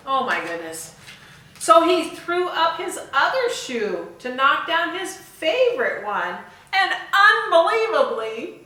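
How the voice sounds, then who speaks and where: A woman reads a children's story at an unhurried, slow pace in a fairly high voice. She is expressive and chats to her young listeners between sentences. The recording is very echoey. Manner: expressive, chatty asides and questions to young listeners. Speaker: volunteer storyteller. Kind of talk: read-aloud picture book storytime for children. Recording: echoey room, far from the mic.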